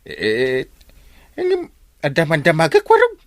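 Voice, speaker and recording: An excitable, swooping village captain. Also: male voice, a little high, a little slow, no echo, studio quality, full character voice. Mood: coaxing. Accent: Ilocano. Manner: hesitant, repeated "eh" before phrases. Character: jovial, meddling village captain uncle who likes his drink. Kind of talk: Ilocano radio drama acting.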